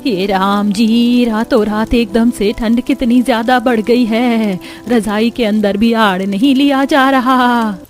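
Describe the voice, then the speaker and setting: A woman's voice: fairly high pitch, fairly even intonation, slow, hardly any pauses, soft background music. A woman voicing a poor old mother-in-law in a cartoon family tale, in a sighing, plaintive manner. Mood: complaining.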